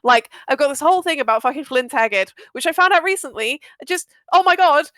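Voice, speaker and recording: A woman's voice: sits high, animated, brisk, fairly bright tone, dry recording. A woman chatting casually and at length in an emphatic, opinionated, rambling way, with some swearing. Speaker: audio drama maker. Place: live online group stream.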